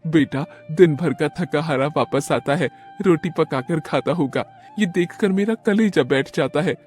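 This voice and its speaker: A woman's voice: sits low, a little slow, a little mellow, faint music behind. A woman voicing an affectionate, insistent elderly grandmother who plays matchmaker in a storybook cartoon. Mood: affectionate, coaxing. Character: kindly elderly grandmother, persuasive busybody matchmaker.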